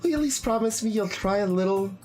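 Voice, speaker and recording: Bubbly, caring schoolgirl friend for an anime game, played by a man, pitched high, pleading and scolding, music behind. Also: animated, fast talker, darkish tone. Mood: worried pleading. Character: cheerful, clingy, caring teenage girl, childhood friend. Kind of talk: anime visual novel character voice.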